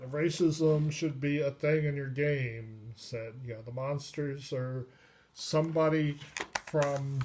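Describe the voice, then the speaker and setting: A man giving a casual, unscripted review of a tabletop game book, pausing often mid-sentence. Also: on the high side. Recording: noisy recording with clicks.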